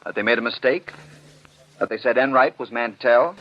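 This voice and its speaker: An actor playing a stern, skeptical commissioner in a 1940s radio drama, sarcastic and high-handed, full of pointed questions. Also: male voice, moderate pace, natural voice. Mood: skeptical, scornful. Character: stern, skeptical male commissioner, unyielding defender of jury verdicts. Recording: muffled vintage 1940s radio broadcast.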